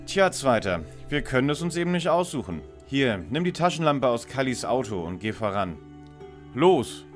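A man acts out a bossy, know-it-all young detective in a parody radio play. His delivery is lively and articulate, with a pompous, commanding manner over faint music. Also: mid-range voice, normal speed, natural voice. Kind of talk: parody detective audio drama acting.

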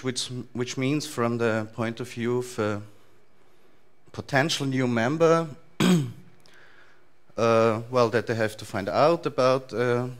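A man in his thirties with an Austrian accent, giving a relaxed, loosely structured talk to a conference audience in a large lecture hall. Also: medium pitch. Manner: relaxed, thinking aloud with frequent fillers and restarts. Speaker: conference speaker.

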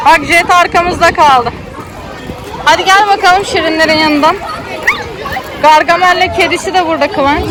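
A woman narrating a casual family vlog for children, speaking directly with frequent greetings and prompts. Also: very high-pitched. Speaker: family vlogger and mother filming her child. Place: crowded outdoor children's theme park. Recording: noisy crowded outdoor recording, loud background. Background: loud crowd and outdoor ambience.

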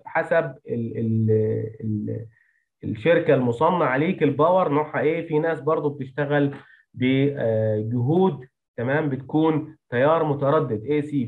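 An Egyptian man teaches like an engineering instructor walking through slides. He talks quickly, at a middling pitch, and mixes English technical terms into his Arabic accent. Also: narrow, tinny audio. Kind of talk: lecture explaining technical slides.

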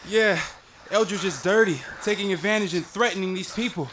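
A man's voice: high-pitched, fairly quick, fairly bright tone, slight background noise, rough recording. A man playing an earnest, protective officer on the run in a sci-fi audio drama, urgent and reassuring. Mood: defiant, hurried.

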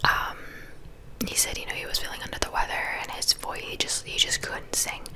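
A woman's voice: fairly quick, pushed and bright. Woman whispering ASMR, close mic. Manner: chatty, conversational whisper. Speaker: ASMR creator. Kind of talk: whispered ASMR gossip chat. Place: small bedroom, indoors. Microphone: large studio mic close to the mouth.

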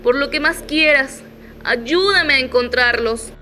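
A woman's voice: sits high, measured pace, bright, forceful tone, soft background music. A woman playing an imperious, desperate mother in a melodramatic radio soap opera. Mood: desperate, pleading. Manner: haughty, melodramatic. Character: imperious, desperate mother confronting her former lover.

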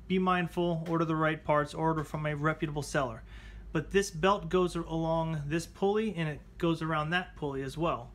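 A man's voice: a little high, fairly bright tone, a little hiss or hum. A man hosting a casual repair tutorial, chatting informally as he talks viewers through the steps. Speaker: how-to channel host. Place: indoors at a table at home.

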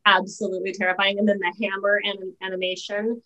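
Female host, middle-aged, average pitch, informal interview chat with halting, emphatic delivery. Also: flat delivery, bright, forceful tone, rough recording. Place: indoor home room, on a video call. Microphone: video call.

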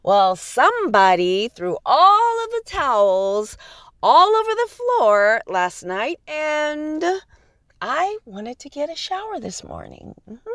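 A woman's voice: high-pitched, big pitch swings, slow, a bit bright, dry recording, studio quality, exaggerated voice. A woman playing a soothing, playful bride in a horror radio drama, coaxing and teasing. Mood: casual. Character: soothing, playful bride humoring her nervous husband.

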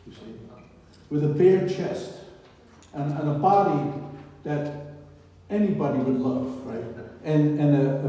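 Older American preacher in an echoey hall. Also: male voice, on the high side, warm tone. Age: 70s. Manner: conversational and digressive, often adding "right?". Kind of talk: informal sermon. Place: small church hall indoors. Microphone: far camera or phone. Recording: echoey hall, distant camera microphone.

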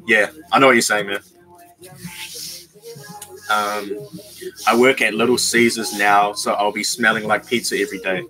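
A young man in a small bedroom, casually chatting with his viewers on a livestream and reading out their comments, slangy and relaxed, calling people bro and man. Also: lowish voice, normal speed, long pauses, rough recording. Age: young adult. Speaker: YouTuber reading live chat.

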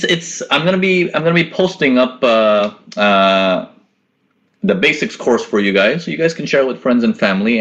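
A man's voice: lowish voice, a bit bright. A young man hosting a live trading webinar from home, answering chat questions in a casual coaching manner and often repeating his words. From grew up in Korea and the US. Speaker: forex trading webinar host. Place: small indoor room at home. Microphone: laptop webcam mic on a video call.

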